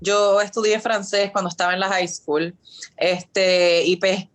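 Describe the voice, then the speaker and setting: A woman's voice: mid-range voice, little pitch movement, a little slow. A woman in her thirties from Puerto Rico with a Puerto Rican accent, answering interview questions in a chatty way, full of fillers and English words, over a video call. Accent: Puerto Rican Spanish. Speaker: guest.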